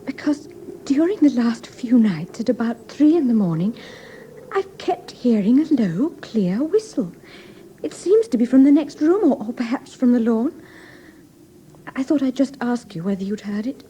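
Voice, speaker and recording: An actress playing a gentle, uneasy young bride-to-be in a radio drama, soft-spoken and genteel, with a Received Pronunciation accent. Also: female voice, a little high, very animated, moderate pace. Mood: uneasy, tender. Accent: English Received Pronunciation. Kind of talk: classic British radio drama acting. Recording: old radio recording, faint background noise.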